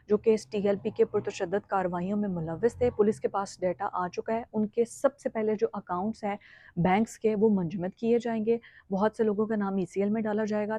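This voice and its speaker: A woman's voice: mid-range voice, warm tone. A woman with a Pakistani accent giving current affairs commentary as a vlogger, in an emphatic way. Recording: echoey room, slightly dull sound.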